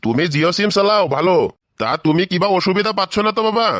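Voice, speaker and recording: A man's voice: sits high, expressive, fast, fairly bright tone, no echo, clean studio sound. A man voicing a fatherly but exasperated school official addressing children in a comedy cartoon, with exaggerated delivery. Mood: friendly. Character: exasperated adult man, schoolteacher and visiting company manager.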